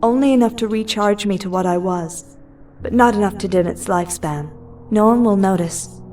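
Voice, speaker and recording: A woman's voice: mid-range voice, moderate pace, a little mellow, soft background music, lightly acted. A woman playing a weary, godlike superheroine in an audio drama, grave, resolute and dramatic. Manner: solemn, dramatic.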